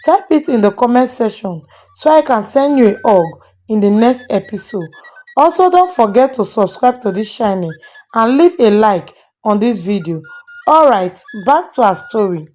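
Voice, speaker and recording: A woman's voice: a little high, animated, moderate pace, darkish tone, faint music behind. A woman storyteller hosting and dramatically narrating a moral tale. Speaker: storyteller and channel host.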